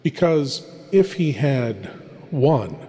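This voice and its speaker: A man hosting a horror show with ominous, theatrical, deliberate delivery in a big reverberant space. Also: mid-range voice, lively intonation, mellow. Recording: long reverberant hall, music behind. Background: faint music.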